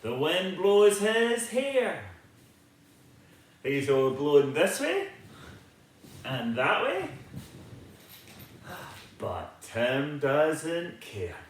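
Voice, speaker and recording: A man reads a picture book to children in a high, swooping voice. His delivery is slow and theatrical, with a sing-song lilt that invites listeners to join in. The room around him rings with heavy echo. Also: huge pitch swings. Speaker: storybook reader. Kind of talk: animated children's picture-book read-aloud. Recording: very echoey, reverberant room.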